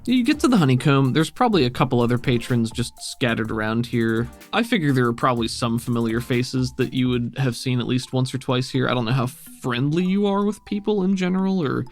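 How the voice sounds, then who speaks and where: A man's voice: mid-range voice, animated, brisk, hardly any pauses, faint music behind. A man acting as game master, narrating a tabletop game and explaining rules in a casual, conversational way.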